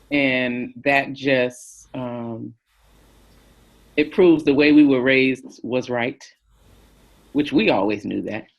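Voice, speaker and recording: Reflective woman in her fifties. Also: rumbling low. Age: 50s. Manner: thoughtful, unhurried, many fillers. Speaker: interview guest, family member. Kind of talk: reflective interview answer.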